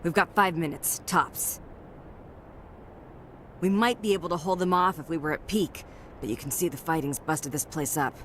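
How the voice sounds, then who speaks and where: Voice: medium pitch, moderate pace, long pauses, a bit bright, a little hiss or hum, close to an everyday voice. An actor voicing a battle-weary, gritty soldier lieutenant in a video game, with blunt, clipped military talk. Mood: urgent, resolute.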